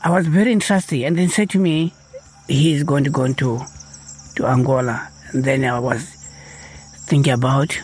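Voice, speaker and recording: A man from Botswana with a husky voice, recalling his own experience as a river guide. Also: medium pitch, fairly even intonation, moderate pace, long pauses, a little mellow, soft background music. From Okavango Delta, Botswana. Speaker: river guide and boat poler. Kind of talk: personal recollection.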